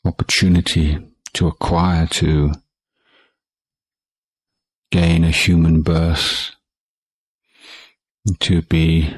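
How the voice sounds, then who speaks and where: A man teaching guided meditation in a calm, measured way, leaving long pauses between short phrases. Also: a bass voice, slightly rough, no room sound, poor audio. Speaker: Buddhist monk meditation teacher.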